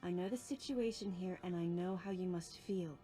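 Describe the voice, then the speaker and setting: A woman playing a reserved female bounty hunter, terse and low-key in a game cutscene. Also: moderate pace, warm tone, soft background music, close to an everyday voice. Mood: cautious. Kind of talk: game cutscene voice acting and inner monologue.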